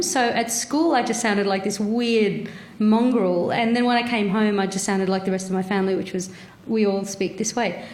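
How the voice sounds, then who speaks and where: A woman from Fiji speaks candidly as a panel guest, now and then pausing on a small hesitation. Her voice sits a little high and rings in an echoey room. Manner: candid, lightly hesitant storytelling. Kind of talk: casual panel talk.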